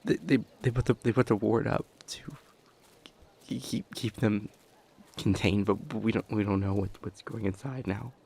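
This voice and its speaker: A man acting an anxious, kind young helper at a disaster in an audio roleplay, stammering heavily in a soft, hesitant voice. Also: on the low side, steady tone, moderate pace, vocal fry, breathy edge. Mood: anxious, shaken. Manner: heavy nervous stammer, hesitant.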